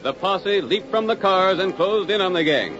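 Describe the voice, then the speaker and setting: A man's voice: high voice, lively intonation, normal speed, a bit bright. A man narrating a western in a dramatic, urgent 1940s radio style. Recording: muffled old radio broadcast. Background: music.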